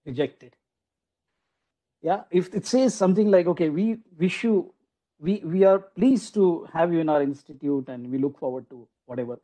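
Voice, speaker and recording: A man giving an online lecture to a room of teachers, speaking through a computer microphone on a video call. His voice sits a little high but sounds very soft and mellow. He talks in a loose, conversational way, restarting phrases and leaning on "you know" as he goes. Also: moderate pace, rough recording. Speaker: guest lecturer at a faculty development program.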